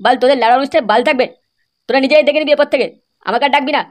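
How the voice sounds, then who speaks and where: Woman voicing a fast, high-pitched foul-mouthed cartoon lad. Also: lightly acted. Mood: angry, scolding. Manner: crude, scolding, swearing constantly. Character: brash, foul-mouthed young Bengali village troublemakers. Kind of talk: amateur cartoon comedy dubbing.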